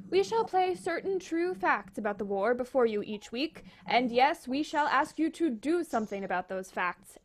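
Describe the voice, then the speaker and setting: A woman's voice: a little high, natural voice. A woman playing a formal, earnest wartime announcer, reading a scripted 1940s-style radio address.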